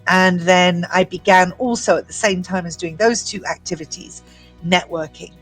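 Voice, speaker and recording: A woman in her fifties, from the UK with an English accent, telling her story as an entrepreneur in a measured, articulate way. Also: fairly low pitch, a bit bright, faint music behind, low-quality recording. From United Kingdom. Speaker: guest entrepreneur. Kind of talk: prepared personal story.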